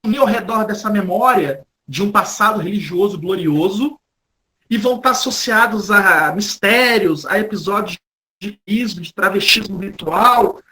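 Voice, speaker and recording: Male Brazilian academic, sharp bright tone, halting lecture delivery with frequent rephrasing. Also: high-pitched, rough recording. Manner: halting, repeats and rephrases words. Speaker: history professor, guest lecturer. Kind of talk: academic lecture, spoken off the cuff.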